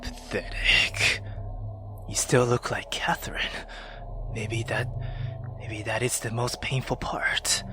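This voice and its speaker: A man voicing a haunted, sorrowful hero narrating a horror visual novel in a solemn, whispery hush. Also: level tone, slow pace, long pauses, music in the background. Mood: haunted, grim. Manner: low, hushed, whispery, solemn.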